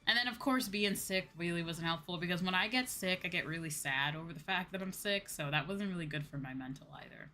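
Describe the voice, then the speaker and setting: Bright-voiced young woman streamer, low-pitched. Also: fairly quick, hardly any pauses. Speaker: VTuber streamer. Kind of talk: casual livestream chat with viewers. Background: faint background music.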